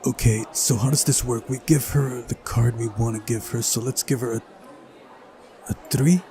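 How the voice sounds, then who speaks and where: A man's voice: mid-range voice, fairly even intonation, moderate pace, mellow, slight background noise. A man doing a visual novel playthrough, switching between reading narration aloud and casual commentary.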